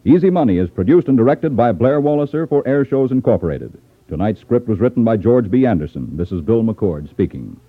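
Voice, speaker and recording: A man's voice: mid-range voice, very animated, a little fast, no room sound. A man reading a scripted announcement in the style of 1950s radio. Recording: tinny, phone-like 1950s radio recording. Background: faint music.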